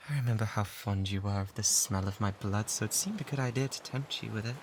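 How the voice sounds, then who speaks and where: A man with a British accent playing an obsessive, lovesick pirate in an intimate ASMR roleplay, breathy and often whispering, pleading theatrically and devotedly. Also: lowish voice, lightly acted. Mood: coaxing devotion. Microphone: close binaural microphone. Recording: close binaural recording with background ambience noise.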